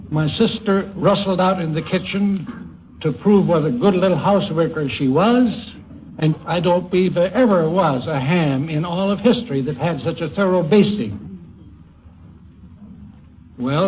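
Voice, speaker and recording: A man telling a personal story in a wry, colorful way. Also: a little high. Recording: dull, noisy 1950s radio recording.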